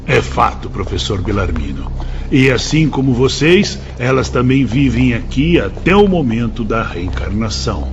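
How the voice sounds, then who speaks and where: An actor with a Brazilian accent playing a grave, paternal spirit instructor who moralises formally in a radio drama. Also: male voice, mid-range voice, sing-song, normal speed, lightly acted. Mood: grave, instructive. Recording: dull audio over background music.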